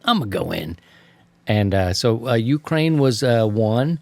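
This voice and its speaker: A man with a mid-pitched voice talks casually as a radio hobby show host. He tells stories in loose, slangy language and slips into quoting himself and others. The sound is dry and close. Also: very animated, measured pace, vocal fry, no room sound. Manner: colloquial, slangy, acts out remembered exchanges. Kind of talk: casual anecdotal storytelling to co-hosts.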